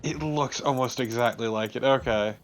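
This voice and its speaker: A man's voice: medium pitch, moderate pace, a little hiss or hum. A man co-commentating a game with casual, sweary banter. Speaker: let's play co-commentator.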